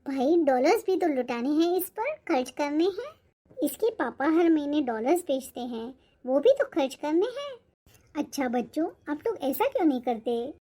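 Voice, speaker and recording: Showy, high-voiced matchmaking mother acted by a woman. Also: sing-song, normal speed, dark tone. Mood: sweetly bossy. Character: showy, bossy middle-aged mother of the bride. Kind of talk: Hindi cartoon moral-story voice acting.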